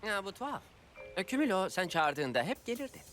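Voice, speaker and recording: A woman's voice: mid-range voice, sing-song, brisk, very noisy, close to an everyday voice. A woman voicing a chatty, fussy, comic cat sidekick in a dubbed children's cartoon, hesitant and grumbling. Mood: puzzled. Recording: loud music behind.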